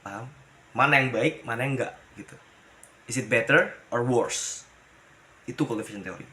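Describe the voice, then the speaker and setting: A man's voice: medium pitch, normal speed, bright, forceful tone. Chatty young man presenting a class topic. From Pemalang, Central Java, Indonesia. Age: young adult. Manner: chatty, calls the viewers guys, mixes in English terms. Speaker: university student presenter.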